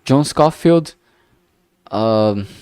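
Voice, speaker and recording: A man with a thick Brazilian Portuguese accent talks slowly and unhurriedly, his voice sitting fairly low. He rambles as he thinks aloud, full of fillers and restarts, recorded close and dry with no room sound. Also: lively intonation, a little mellow, clear, resonant tone, no room sound. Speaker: game developer streamer. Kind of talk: casual think-aloud stream commentary.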